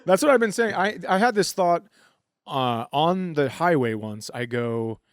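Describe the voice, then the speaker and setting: A middle-aged man co-hosting a live comedy podcast, telling crude stories in a deadpan way. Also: on the high side, measured pace, dry recording, studio quality. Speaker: podcast host. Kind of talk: casual comedic chat.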